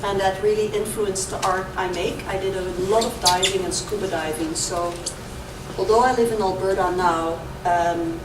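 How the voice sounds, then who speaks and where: A woman with a Dutch accent, raised in the Caribbean, giving an artist talk with a hesitant, filler-heavy manner. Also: on the low side. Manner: hesitant, lots of ums and uhs. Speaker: visiting artist. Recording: echoey room from far off with noise.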